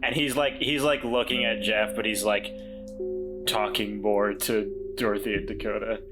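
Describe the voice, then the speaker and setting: A man's voice: fairly low pitch, fairly even intonation, normal speed, over loud music, rough recording. A man playing a tabletop game, talking earnestly in character and stumbling over restarts. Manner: earnest, self-blaming, stammering restarts. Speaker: player.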